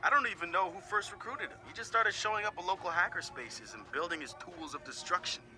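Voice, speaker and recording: A man's voice: high-pitched, quick pace, close to an everyday voice. An actor playing a laid-back young male hacker who sizes up his teammates in a game audio log, talking casually with profane, wry asides. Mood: candid. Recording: clear music behind the voice.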